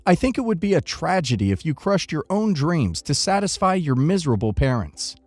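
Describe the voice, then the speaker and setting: A man narrating first-person online stories from a script in an expressive reading manner. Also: fairly high pitch, a little fast, faint music behind.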